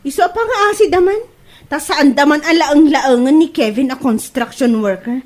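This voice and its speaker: A woman's voice: sits high, moderate pace, close to an everyday voice. A woman playing an indignant, defensive young daughter in an Ilocano comedy drama, complaining emphatically with an Ilocano accent.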